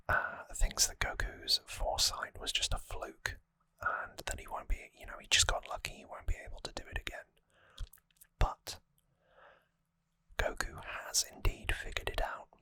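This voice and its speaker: A hushed ASMR host whispers slowly and explains things step by step. The whisper is bright and crisp, recorded close up in a dry space with no room sound. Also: dry recording. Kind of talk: whispered ASMR trivia recap. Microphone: close microphone.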